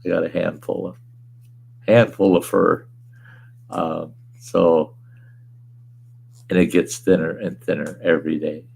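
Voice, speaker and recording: Hoarse man, slow and very gravelly, laid-back rambling streamer host who chuckles and swears casually. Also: low-pitched, level tone, a little mellow. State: hoarse, weakened voice. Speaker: livestream host. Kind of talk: casual livestream chat reading viewer comments. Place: at home.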